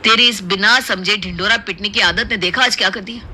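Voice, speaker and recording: A man's voice: high voice, brisk, bright, forceful tone, slight background noise. A man voicing a confused, reproachful young husband in a cartoon story, with a questioning manner. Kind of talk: Hindi cartoon moral-story voice acting.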